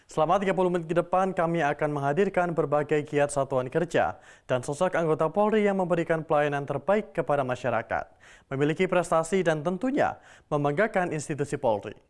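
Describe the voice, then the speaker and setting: A young man presenting a television program in a formal, steady announcer style. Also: on the high side, fairly quick. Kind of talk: scripted broadcast presenting.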